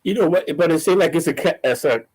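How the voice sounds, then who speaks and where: A man in a casual chat who speaks haltingly and restarts mid-sentence. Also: a little high, expressive, a little mellow, breathy edge.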